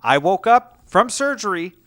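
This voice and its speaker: A man's voice: high-pitched, big pitch swings, moderate pace, bright, forceful tone. A man co-hosting a casual comedy chat, agreeable and telling stories with a string of "yeah, yeah, yeah". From Canada. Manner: agreeable, lots of "yeah, yeah, yeah", storytelling. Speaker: podcast co-host.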